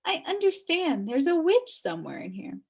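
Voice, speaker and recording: A woman's voice: extremely high pitch, big pitch swings, normal speed, vocal fry, darkish tone, voice pushed up, full character voice. A woman voicing a naive, worried young boy in a storybook, earnest and plaintive. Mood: anxious.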